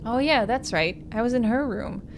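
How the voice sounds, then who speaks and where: A woman's voice: on the high side, moderate pace, background noise. A woman hosting a game playthrough, reading story narration aloud with casual asides. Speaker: gaming host.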